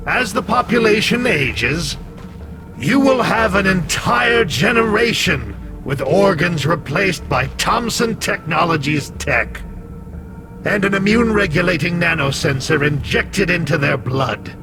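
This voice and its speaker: A smug artificial intelligence from a video game. The voice is fairly high and swings widely in pitch, with slow, theatrical delivery. It taunts like a manipulator who looks down on humans, over prominent music. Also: male voice, expressive, vocal fry, full character voice. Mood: cold threat. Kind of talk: video game cutscene acting.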